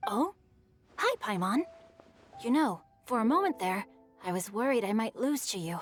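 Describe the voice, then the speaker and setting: A woman's voice: on the high side, very animated, a little slow, soft background music. A woman voicing a breezy, youthful poet-bard in a video game, with a lilting, courteous, teasing manner. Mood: amused.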